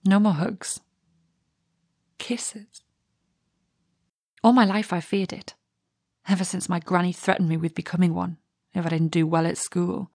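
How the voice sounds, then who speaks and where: An actress playing a restless, curious young woman in an audio drama monologue, confiding and wry, with a hushed, husky voice. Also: fairly low pitch, steady tone, measured pace, pauses often, no echo, clean studio sound. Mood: uneasy, reflective.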